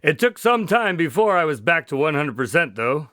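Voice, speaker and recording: A man's voice: fairly high pitch, big pitch swings, moderate pace, fairly bright tone, no room sound, studio quality. An actor playing a genial male doctor who recounts detective adventures in a radio drama, polite and wry. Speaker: storyteller and narrator. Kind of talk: modern radio drama acting.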